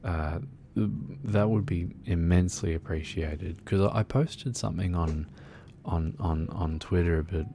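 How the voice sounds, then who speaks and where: A man streaming a game, chatting in a soft-spoken, soothing, rambling way with lots of fillers. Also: deep voice, unhurried, vocal fry, hardly any pauses, a little hiss or hum. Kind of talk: relaxed casual chat while gaming.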